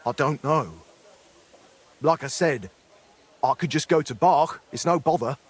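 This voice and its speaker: An actor playing a remorseful middle-aged former blacksmith in a dramatic game, speaking in an earthy, apologetic way. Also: male voice, fairly high pitch, measured pace, slightly rough. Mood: hesitant, grateful.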